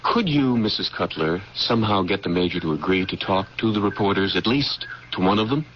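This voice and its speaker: An earnest, scheming military aide in a vintage radio drama. His voice is on the low side and his manner deferential yet persuasive. The recording is dull, with faint noise. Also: expressive, fairly quick. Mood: worried, confiding. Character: earnest, scheming political aide to a Civil War general. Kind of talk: old-time radio drama acting. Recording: muffled, noisy old radio broadcast recording.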